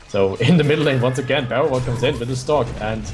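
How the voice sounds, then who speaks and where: Color caster, man, second-language English, rapid explanatory delivery, stumbles and restarts. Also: mid-range voice, soft background music. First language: not English. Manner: fast, restarts phrases, explains strategy. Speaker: esports color commentator. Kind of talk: analytical game commentary.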